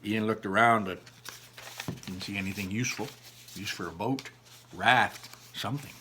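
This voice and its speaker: Male read-aloud storyteller, bright forceful voice, expressive, drops into chatty explanations for young listeners. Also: fairly low pitch, normal speed, a little hiss or hum. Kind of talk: animated children's book read-aloud with chatty asides.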